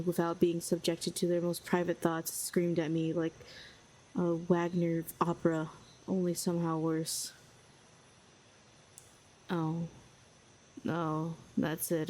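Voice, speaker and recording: Audio roleplay: woman voicing a condescending female villain telepath, low and flat, dryly mocking. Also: a little slow, pauses often, low-quality recording. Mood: probing, sardonic.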